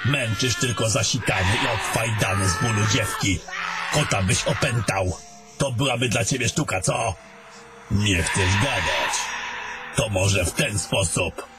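A man's voice: lowish voice, measured pace, loud music behind the voice, close to an everyday voice. A man playing a scornful, domineering battle mage in a fantasy audio drama, with a commanding and coarse way of talking. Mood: defiant, contemptuous.

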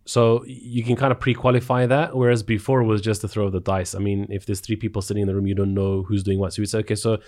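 A man's voice: lowish voice, flat delivery, fast talker, few pauses, a little mellow. A man with a South African accent explaining sales in a conversational way, acting out bits of example dialogue. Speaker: sales expert, interview guest.

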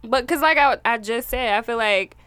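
A woman who is a guest on a podcast, speaking in a thoughtful, hesitant way with soft laughs. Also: a little high, normal speed, clear, resonant tone, no room sound. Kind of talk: casual group chat.

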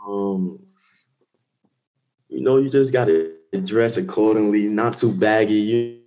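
Laid-back New rapper, low voice. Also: male voice, a little slow, a little mellow, low-quality recording. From Guyana. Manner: laid-back, says "you feel me" a lot. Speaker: rapper guest. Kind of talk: casual interview chat.

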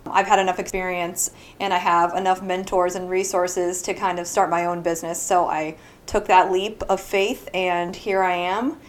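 A woman's voice: on the low side, level tone, moderate pace. Brief: thirties woman from Florida, small business founder, friendly guest who laughs often. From Southwest Florida. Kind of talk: casual interview answers.

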